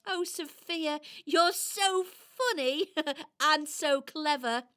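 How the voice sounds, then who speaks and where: A British woman playing a sweet, polite young female traveller in a children's radio play, gentle and earnest. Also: high-pitched, takes it slowly, pitched above the performer's own voice, exaggerated voice. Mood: admiring.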